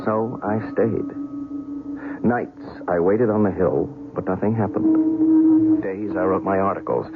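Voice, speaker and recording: A man's voice: on the low side, sing-song, slow pace, narrow, tinny audio, over loud music, heavily acted. An actor playing a shaken, skeptical and stubborn male reporter in an old-time radio drama, talking in a colloquial, pleading way. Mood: narrating. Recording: noisy, thin old radio recording.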